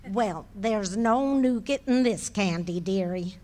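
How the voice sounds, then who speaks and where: A woman's voice: medium pitch, slow, a little mellow, natural voice. A woman playing a patient, dryly witty middle-aged wife in a live radio play. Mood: teasing. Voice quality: dry, teasing.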